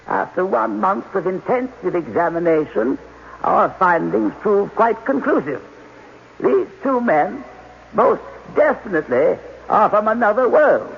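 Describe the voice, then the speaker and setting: An actor playing an authoritative, evasive general in 1980s radio drama, speaking in a measured, formal, commanding way. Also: male voice, sits high, creaky, full character voice. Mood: firm, orderly. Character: authoritative alien army general, diplomatic, evasive, weary of questions. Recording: dull, noisy old radio broadcast.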